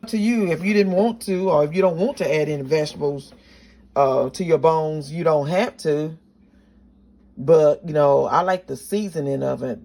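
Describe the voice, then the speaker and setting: A woman with a raspy voice, chatting casually to her viewers in a home kitchen cooking vlog. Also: deep voice, normal speed, mellow. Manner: chatty, talks straight to viewers. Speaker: cooking vlogger.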